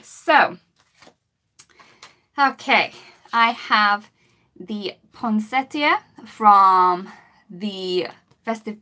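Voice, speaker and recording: A woman, her voice a bit croaky, demonstrating coloring with markers in a chatty live-stream lesson. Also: mid-range voice, sharp tone. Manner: chatty, talks while working, greets viewers. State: slightly croaky voice.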